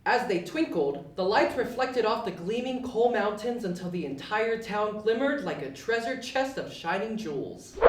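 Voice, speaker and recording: A woman's voice: mid-range voice, lively intonation, normal speed, hardly any pauses. A woman narrating a children's storybook as a scripted readalong. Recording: roomy, distant mic.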